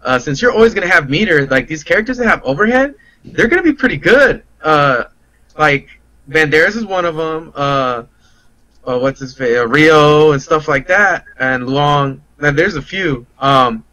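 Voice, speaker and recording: A man's voice: on the high side, expressive, normal speed, bright, forceful tone, no room sound, poor audio. A man talking casually and loosely about fighting games, in a slangy, rambling way. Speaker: guest player on a fighting game talk show. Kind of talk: casual game discussion.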